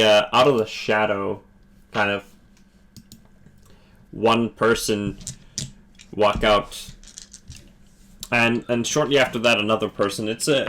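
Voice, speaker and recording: A male game master narrating a tabletop scene, halting and restarting as he goes. His voice has an ordinary middle pitch and a casual, unscripted delivery. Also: fairly bright tone. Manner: halting, full of fillers and restarts.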